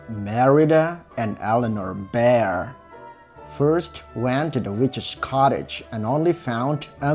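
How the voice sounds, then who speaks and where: A man narrating a scripted children's fairy tale in a measured, expressive storybook style. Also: mid-range voice. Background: music.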